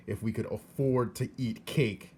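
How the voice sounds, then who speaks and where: A man's voice: mid-range voice, fairly even intonation, measured pace, mellow, a little hiss or hum, natural voice. A man voicing an indignant, grasping peasant uncle in a storybook, exclaiming and protesting. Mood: dismayed. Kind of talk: storybook character reading.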